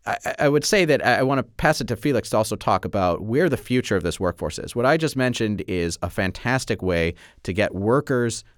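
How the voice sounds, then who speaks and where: A man's voice: lowish voice, animated, brisk, hardly any pauses, no room sound, clean studio sound. A man speaking emphatically on a discussion panel, stretching words for stress. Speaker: panel guest, partnership leader.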